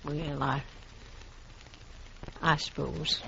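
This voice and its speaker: A woman's voice: low voice, steady tone, very slow, full character voice. A woman playing a stubborn older frontier widow in a radio western, with feisty, folksy American speech. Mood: softening, wistful. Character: stubborn older widow, frontier homesteader guarding her land. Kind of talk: old-time radio western acting. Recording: dull lo-fi recording with clear background noise.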